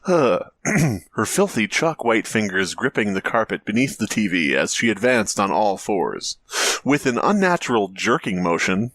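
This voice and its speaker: A man's voice: lowish voice, big pitch swings, normal speed, fairly bright tone, dry recording. A man co-hosting a podcast, reading amateur stories aloud and breaking off for sarcastic, casual jokes. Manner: sarcastic, wisecracking. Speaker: podcast co-host. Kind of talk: casual comedic banter, reading fan fiction aloud.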